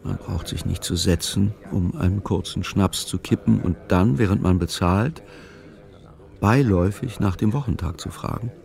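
A man narrating a literary audio drama in measured, clipped, matter-of-fact phrasing. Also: deep voice, creaky, darkish tone. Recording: music behind the voice. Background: music.

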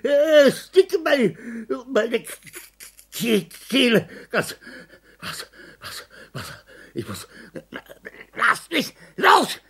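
A delirious woman fighting for air, her voice extremely breathy and raw. She gasps out slow, fragmented pleas, repeating words in terror. Her pitch sits a little high and lurches widely. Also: huge pitch swings, full character voice. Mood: panicked. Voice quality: gasping, broken fragmented phrases. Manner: pleading, panicked, repeating words. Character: delirious woman suffocating in fever, terrified. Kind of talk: dramatic acting.

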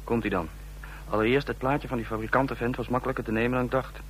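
An actor playing a breezy, cocky photographer and informant, chatting colloquially in a radio drama. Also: male voice, mid-range voice, fairly even intonation, fast, fairly bright tone, lightly acted. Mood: breezy, smug. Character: breezy, slangy male photographer working as informant. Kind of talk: radio drama acting, phone report. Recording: faintly noisy old radio recording.

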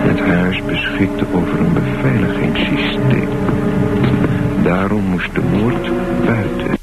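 A man narrating a scripted radio thriller in measured, deliberate short phrases. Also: sits low, vocal fry, low-quality recording. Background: loud music.

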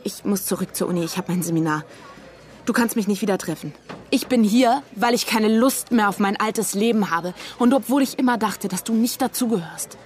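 A woman's voice: medium pitch, brisk, a little mellow, slight background noise, natural voice. Radio drama role, actress: young woman student, mid register, wounded, frank, naturalistic delivery. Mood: upset, earnest. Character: hurt, candid young female university student, emotionally direct.

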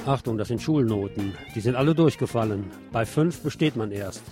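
A man's voice: medium pitch, fairly quick, over loud music. A man presenting radio news, reading scripted reports and adding brief asides of his own.

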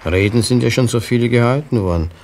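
A man playing a jaded, grumbling official in a German radio play with a gravelly voice. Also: medium pitch, quick pace, darkish tone, slight background noise, natural voice. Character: jaded official shrugging at endless celebrations.

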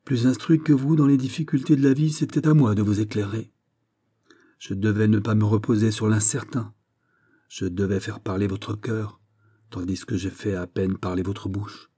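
Storybook suitor, played by a male narrator: betrayed young nobleman, solemn and bitter, grandly tragic, low dark voice. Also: steady tone, normal speed, breathy edge. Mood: bitter, contrite. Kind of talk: dramatic storybook reading.

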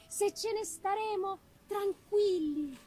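A woman's voice: extremely high pitch, takes it slowly, mellow, voice pushed up. A woman voicing an anguished, tender young woman who pleads with her beloved cousin in a dramatic reading. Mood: tenderly pleading.

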